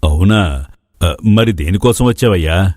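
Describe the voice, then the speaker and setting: A man's voice: sits low, lively intonation, unhurried, darkish tone, dry recording, studio quality. A man playing an anxious, evasive older village farmer father, hesitant and stammering. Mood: flustered.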